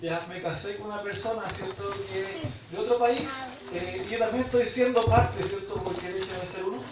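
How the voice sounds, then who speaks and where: A Chilean man preaching informally in Spanish with a Chilean accent, halting, with frequent pauses and tag questions. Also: high-pitched, background noise. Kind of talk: informal sermon with prayer and scripture reading. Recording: echoey room, distant mic, loud music behind.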